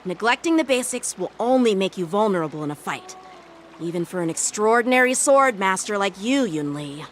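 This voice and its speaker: A woman's voice: mid-range voice, lively intonation, a little fast, a little hiss or hum, natural voice. A woman voicing a polite, composed young swordplay teacher in an anime-style game, formal and earnest. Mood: polite, defensive.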